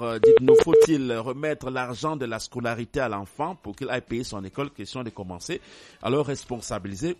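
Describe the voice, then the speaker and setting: A male radio host running a call-in show in a friendly, joking way. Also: medium pitch.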